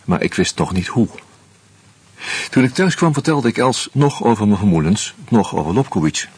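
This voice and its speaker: An actor playing a wry, uneasy screenwriter who narrates a radio play in a measured, reflective way with hesitant fillers. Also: male voice, on the low side, sing-song, a little mellow, breathy edge. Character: wry, uneasy male screenwriter caught up in murders.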